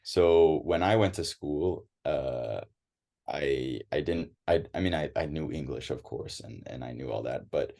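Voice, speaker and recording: A man's voice: very deep voice, measured pace, vocal fry, warm tone. A young American man chatting as an interview guest, hesitant, with many restarts and fillers. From United States. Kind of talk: casual interview chat.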